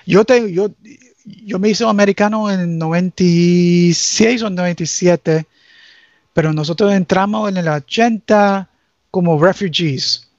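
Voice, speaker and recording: A high-voiced man from Nicaragua, an English speaker at heart, who stumbles through Spanish and slips in English terms. The sound is dry and dull, like a lo-fi close mic. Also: dry recording. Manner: halting, searching for Spanish words, switching to English terms. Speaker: guest. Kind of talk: casual chat.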